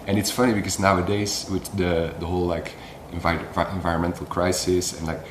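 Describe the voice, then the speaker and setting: A man's voice: low-pitched, echoey room, noisy background. A young man from Belgium, a circus acrobat, chatting casually with lots of fillers like "like" and "uh". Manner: relaxed, filler-heavy with frequent "like". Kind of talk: casual interview talk.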